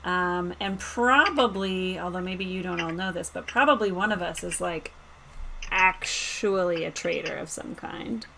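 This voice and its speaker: A woman's voice: lowish voice, measured pace, gravelly. A woman playing in a streamed roleplaying game, chatting informally and explaining things with plenty of "like". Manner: informal, explanatory, uses "like" often. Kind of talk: casual chat. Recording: faint background noise.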